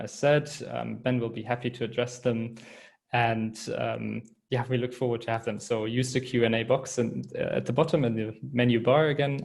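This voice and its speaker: A man's voice: medium pitch, creaky, a little mellow. A man moderating an online webinar over a video call, hesitant with many fillers.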